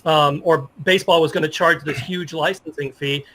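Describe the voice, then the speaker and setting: A middle-aged man speaking as an interview guest in a casual, halting, self-effacing way about sports. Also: a little high, fairly even intonation, sharp tone, a little hiss or hum.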